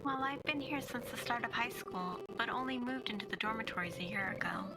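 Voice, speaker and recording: A woman playing a gentle, courteous teenage schoolgirl in a visual novel, composed and politely formal. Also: on the high side, brisk, vocal fry, poor audio. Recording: loud music under the voice.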